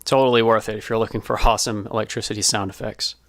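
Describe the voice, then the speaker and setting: A man presenting an informal behind-the-scenes explainer as a sound designer, speaking in a casual, halting way. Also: on the low side, little pitch movement, a bit bright, no room sound, studio quality.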